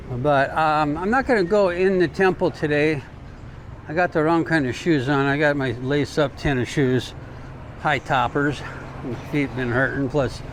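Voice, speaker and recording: An American man doing a casual, rambling travel vlog while walking, talking in an informal, folksy way. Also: mid-range voice. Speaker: travel vlogger. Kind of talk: casual rambling walk-and-talk chat. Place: outdoors, walking along city streets. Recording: clear outdoor street and traffic noise.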